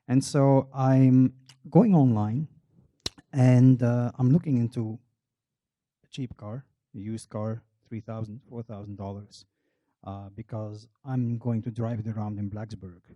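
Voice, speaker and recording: Wry Belgian man telling a story, dark voice. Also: medium pitch, no echo. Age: middle-aged. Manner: wry, self-deprecating storytelling. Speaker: marketing researcher on a panel. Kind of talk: public talk with personal story.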